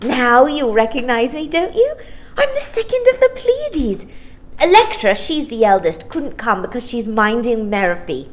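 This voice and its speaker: A woman voicing a bright, excitable little star-child girl in a children's storybook, gushing with breathless delight. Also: fairly high pitch, measured pace, voice pushed up. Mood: gleeful.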